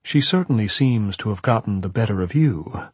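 A man's voice: lowish voice, expressive, moderate pace, a little mellow, husky, dry recording. A man voicing a mild, well-bred ghostly servant in an audiobook, formal, coldly polite and insinuating. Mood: regretful, taunting. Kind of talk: audiobook character voice.

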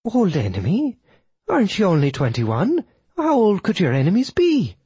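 A frail elderly Irish widow in a storybook reading, her voice tiny, high and quavering. She is fearful yet sharp-tongued, with an Irish accent. The sound is dry and close. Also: huge pitch swings, normal speed, slightly rough, dry recording, lo-fi sound, heavily acted. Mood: doubtful, questioning. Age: older.

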